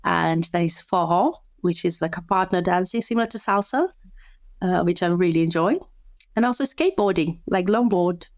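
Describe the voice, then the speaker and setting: A woman's voice: fairly low pitch, normal speed, no room sound, clean studio sound. A woman from Brazil, a podcast guest and sleep coach, chatting casually, often restarting her sentences and laughing easily. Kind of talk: casual interview chat.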